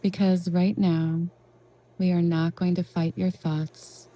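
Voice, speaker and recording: A woman leading a guided meditation in a gentle, reassuring, unhurried way with long pauses. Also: on the low side, level tone. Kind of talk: soothing guided meditation narration.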